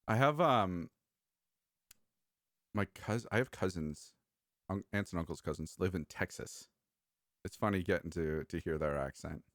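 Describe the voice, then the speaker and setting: A man's voice: low-pitched, unhurried, long pauses, dry recording, studio quality. A man co-hosting a casual chat, joking around and sometimes trailing off mid-thought. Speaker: podcast co-host.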